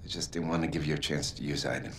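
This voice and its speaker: A middle-aged man acting a concerned, guarded scientist in a video game drama, soft-spoken and measured, with a gravelly voice. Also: steady tone. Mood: apologetic, grave. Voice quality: gravelly, breathy at phrase ends. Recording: low rumbling background noise.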